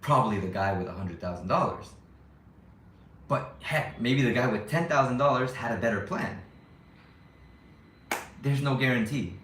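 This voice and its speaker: A man's voice: medium pitch, lively intonation, moderate pace, pauses often, fairly bright tone, echoey room, a little hiss or hum. A young man vlogging to his viewers in a casual, rambling way, often restarting his sentences and second-guessing himself. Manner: rambling, self-questioning, frequent restarts. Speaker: YouTuber living abroad. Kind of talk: casual vlog talk to viewers.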